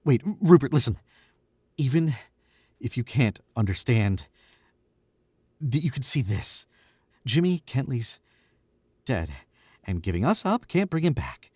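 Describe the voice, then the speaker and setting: A man voices a nervous young murderer in a radio play, stammering as he begs and rationalizes. His husky voice swings widely in pitch, recorded dry and close. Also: very animated, unhurried, pauses often, darkish tone, no echo. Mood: pleading. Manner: stammering, pleading, self-justifying. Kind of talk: 1940s radio acting.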